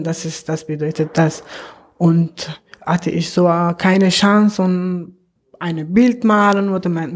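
Slow-talking young Afghan man. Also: sits high, a little mellow. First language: not German. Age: young adult. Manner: slow, halting learner's German with many pauses. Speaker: interviewee. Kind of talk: halting interview answers.

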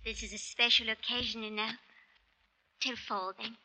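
An actress playing a cheerful London hostess in a 1940s radio drama, brisk and breezy. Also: female voice, on the high side, steady tone, bright, forceful tone. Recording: dull old radio recording.

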